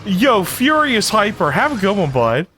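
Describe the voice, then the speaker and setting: Male streamer, lively intonation, slow-paced, casual, slangy, effusive, faint game music. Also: high-pitched. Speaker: game livestreamer. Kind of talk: casual chat, reacting to game.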